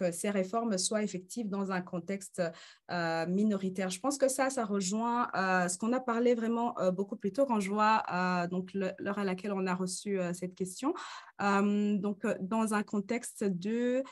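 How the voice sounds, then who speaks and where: A woman in her thirties moderating an online conference discussion, hesitating often with fillers, speaking into a webcam on a video call. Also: medium pitch. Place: indoors in front of a green screen.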